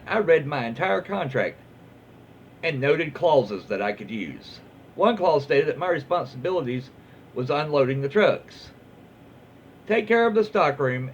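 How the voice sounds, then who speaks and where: A man with a Southern US accent reading stories aloud in a casual, folksy, informal way as a host. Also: a little high. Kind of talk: casual read-aloud storytelling. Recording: muffled, lo-fi with faint background noise.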